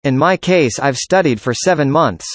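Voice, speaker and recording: A man reading a student's part in a scripted classroom conversation, with an even, measured delivery. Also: on the high side, dry recording. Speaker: student in a dialogue.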